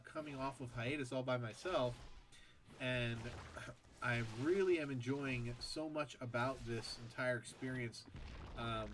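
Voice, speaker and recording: A man's voice: medium pitch, a bit bright, a little hiss or hum. A man casting a strategy game match on his own, nasal and stuffed up after a bad sore throat, chatting casually between plays. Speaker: esports caster. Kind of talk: solo game commentary.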